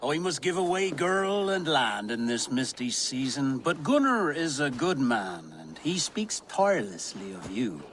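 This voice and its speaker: An actor playing a jovial, mischievous village elder in a medieval video game, with florid and droll delivery. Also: male voice, mid-range voice, big pitch swings, a little slow, few pauses, a bit bright, slight background noise. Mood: genial.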